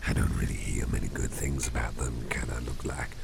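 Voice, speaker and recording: A man playing a caring, easygoing boss in an ASMR roleplay, murmuring softly and breathily in a rambling, reassuring way, close to the microphone. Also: extremely low pitch, fairly even intonation, brisk, creaky, over music, heavily acted. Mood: relaxed, musing. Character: caring, easygoing male boss, gently soothing and chatty. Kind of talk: ASMR roleplay, soft personal-attention talk.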